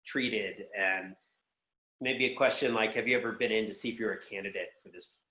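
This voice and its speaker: A man's voice: on the low side, fairly even intonation, normal speed, pushed and bright. A man giving conversational business advice as an interview guest, explaining things in a practical way. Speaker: guest, sales executive and consultant. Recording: roomy, echoing voice pickup.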